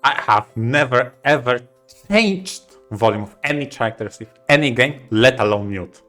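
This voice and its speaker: Man with lively, swooping podcast banter. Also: medium pitch, normal speed, soft background music. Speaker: podcast co-host. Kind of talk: casual opinion banter.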